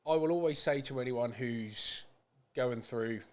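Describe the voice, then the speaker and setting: A man's voice: mid-range voice, unhurried. A British man talking casually and plainly as a podcast guest. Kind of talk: casual chat.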